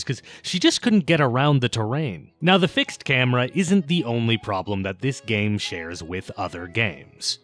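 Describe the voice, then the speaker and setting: A man's voice: medium pitch, a bit bright. A man narrating a scripted video essay in an informal, opinionated way, with casual asides.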